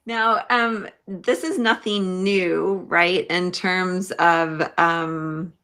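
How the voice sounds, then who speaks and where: A woman hosting a conversational livestream interview, halting and thinking aloud as she speaks. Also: fairly low pitch, fairly even intonation, bright, forceful tone. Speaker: podcast host.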